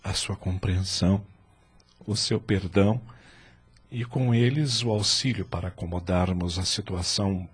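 An actor playing a humble, anxious middle-aged husband in a radio drama, with a Brazilian accent and a contrite, heartfelt delivery. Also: male voice, low voice, steady tone, measured pace, slightly rough, heavily acted. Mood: remorseful, grateful.

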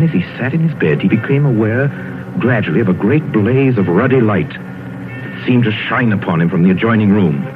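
A man narrating a story in a sonorous, dramatic 1930s radio style. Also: fairly low pitch. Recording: tinny 1930s radio recording, music behind.

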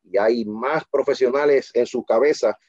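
A self-assured man from the Republic, a guest telling stories in a casual interview, emphatic and full of quick restarts. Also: medium pitch, a little mellow, dry recording. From Dominican Republic. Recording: muffled dry lo-fi sound.

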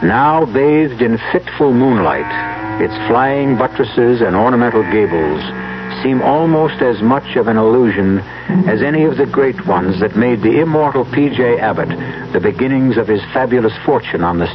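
Measured male radio narrator, dull noisy recording. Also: medium pitch, animated. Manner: measured, atmospheric, literary phrasing. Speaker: radio drama narrator and host. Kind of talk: scripted dramatic narration.

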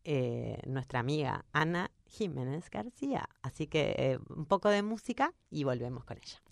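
A woman hosts a radio program from the studio. Her voice sits a little low for a woman, and she talks in a relaxed, chatty way. She introduces her guests with lively energy. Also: normal speed, creaky. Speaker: radio host. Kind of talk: casual radio chat.

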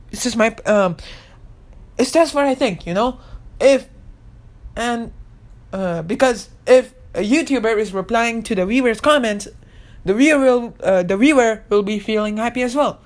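A young woman of eighteen talks through her own podcast in loose, rambling chat. Her voice sits a touch higher than most, and she keeps stopping and restarting with fillers. She earnestly insists that she means every word. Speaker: podcast host and YouTuber. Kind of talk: casual solo podcast chat.

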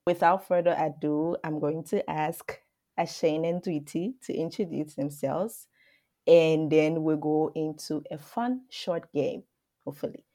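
A woman's voice: low voice, measured pace, dark tone. A woman hosting a student podcast, upbeat and welcoming as she introduces her guests. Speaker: podcast host.

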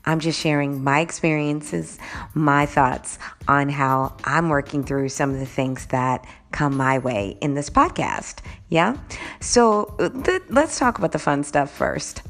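Solo podcast host: woman with a slightly groggy voice, low and gravelly, casual talk. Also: a little slow. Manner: warm, conversational, says "right?" and "y'all" a lot.

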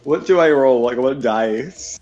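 A man chats casually as a tabletop game player. His voice is medium in pitch and recorded a little dull and lo-fi, with faint music behind it. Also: a little slow, a little mellow. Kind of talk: casual improvised game chat.

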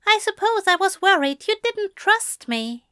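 A woman's voice: very high-pitched, very animated, measured pace, a bit bright, no room sound, studio quality, voice pushed up, exaggerated voice. A woman voicing a fussy, earnest male angel in an audiobook story, with prim and plaintive phrasing. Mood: earnest, gentle.

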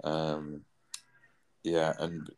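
A man's voice: extremely low pitch, completely flat delivery, drawn-out words, a little mellow. A man, hosting a podcast in casual chat, rambling with lots of fillers and casual swearing. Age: 30s. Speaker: podcast host.

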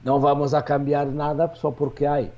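A man, a native Portuguese speaker with a Portuguese accent, answering interview questions as a real estate agent in a halting way, with many restarts and fillers. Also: on the high side, little pitch movement, lo-fi sound.

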